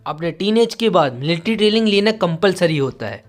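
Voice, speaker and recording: A smug young lord acted by a male narrator talks with cocky confidence. The voice is fairly high and animated, with soft music behind the manga tale. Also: a little fast.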